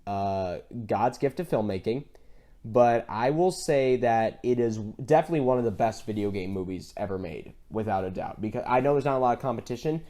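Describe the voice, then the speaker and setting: A man's voice: on the low side, animated, a little fast, warm tone. Man co-hosting a casual movie chat. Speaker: podcast co-host. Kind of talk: enthusiastic casual movie discussion.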